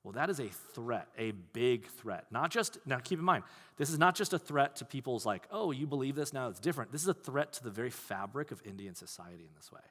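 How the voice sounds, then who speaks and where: A man preaching a sermon as pastor, talking in a conversational, animated way. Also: medium pitch, brisk. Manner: conversational, animated, acts out quoted voices. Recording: long hall reverb.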